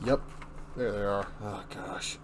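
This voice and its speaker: A man's voice: on the low side, fairly even intonation, slow, background noise. A man narrating and commenting casually on a comic as part of a dramatic reading. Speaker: reviewer and reader.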